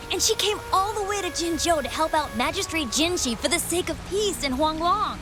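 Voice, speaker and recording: An eager young townswoman in a game, speaks in a helpful, admiring way. Her voice is high and lively, with wide swings in pitch. She takes her time over each line. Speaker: travel guide writer townsperson. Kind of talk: game voice acting.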